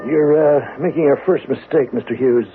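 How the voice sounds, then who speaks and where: A male actor playing a probing, cautioning project insider in a 1970s radio drama, speaking in a deliberate, needling way. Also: mid-range voice, close to an everyday voice. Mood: knowing, cautioning. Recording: tinny, noisy old radio recording.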